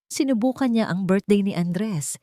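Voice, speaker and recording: A woman narrating a dramatic scripted story in a suspenseful, deliberate way, in short phrases. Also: mid-range voice, mellow, no room sound, clean studio sound. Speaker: narrator of a Tagalog audio drama.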